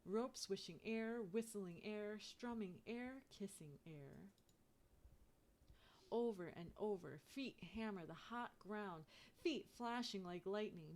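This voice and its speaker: A woman reading a children's picture book aloud in an expressive way, turning sing-song on chants. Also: medium pitch. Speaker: storybook reader.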